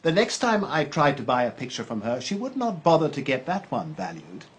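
An actor playing a suave, calculating art dealer in a radio drama, with a measured, matter-of-fact way of talking. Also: male voice, medium pitch, wildly swooping pitch, slightly rough, exaggerated voice. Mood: wry, confiding.

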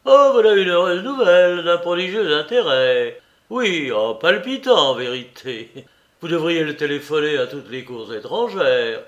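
A man's voice: fairly high pitch, expressive, measured pace, highs cut off. Man doing an exasperated young clerk. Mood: sarcastic. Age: 20s. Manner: sarcastic, shouting, exasperated.

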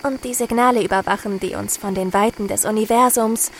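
A woman's voice: medium pitch, fairly even intonation, fairly quick, close to an everyday voice, poor audio. An actress playing a pensive, curious female soldier who watches for UFOs in a radio drama monologue. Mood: pensive wonder. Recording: clear background noise.

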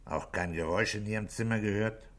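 An actor playing an authoritative, patient police chief inspector in a 1960s German radio play, with a terse, probing, commanding way of talking. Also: male voice, fairly low pitch, a little fast, a bit bright, lightly acted.

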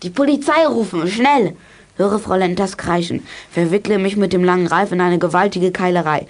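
A woman's voice: lowish voice, moderate pace, natural voice. A woman playing a feisty, plucky young detective in a children's radio play, telling exploits in clipped telegram style. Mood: excited, boastful. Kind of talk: 1980s German children's radio play acting.

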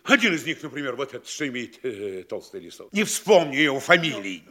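A man's voice: medium pitch, sing-song, brisk, sharp tone. An actor playing a pompous middle-aged town mayor who lectures and scolds his officials theatrically in a classic radio play. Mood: lecturing.

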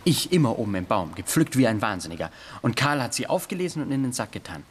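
An actor playing a timid, well-bred schoolboy who boasts eagerly and nervously in a radio play. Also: male voice, medium pitch, sing-song, fast, slight background noise, lightly acted. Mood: eager boasting.

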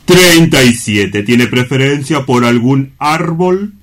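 A man acting a matter-of-fact attendant in a radio drama, officious and deadpan like a cold official. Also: mid-range voice, takes it slowly. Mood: businesslike. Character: brisk, matter-of-fact male attendant with a salesman's coldness.

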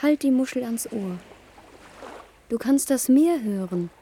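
A woman's voice: a little high, animated, slight background noise. A woman playing a dreamy young daughter in a radio play, wistfully coaxing and pleading with her mother. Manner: slow, wistful, gently coaxing.

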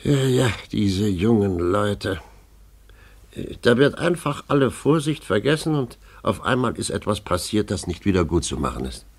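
Gravelly, resigned older man. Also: lowish voice, sing-song, normal speed, heavily acted. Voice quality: gravelly, husky. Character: resigned, sighing older family acquaintance. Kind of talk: German radio play acting.

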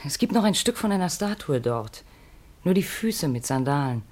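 An actress in a 1950s German radio play voicing an earnest, wistful middle-aged mother on the run, speaking in measured, pleading short phrases. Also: sits low, very animated, a little mellow. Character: Marianne, earnest, wistful fugitive mother of grown children.

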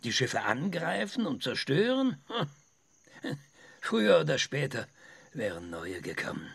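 An actor playing an embittered, impatient middle-aged visionary lecturing a sceptic in a radio drama, husky and sarcastic. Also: male voice, fairly high pitch, wildly swooping pitch, unhurried, fairly bright tone, no room sound, exaggerated voice. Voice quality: gravelly, husky.

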